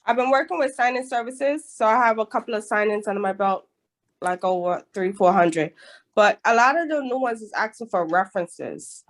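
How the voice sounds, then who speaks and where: A woman's voice: mid-range voice, animated, moderate pace. A woman calling in to a video call with a question, hesitant and restarting often. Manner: hesitant, restarts, self-described introvert. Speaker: caller asking a question.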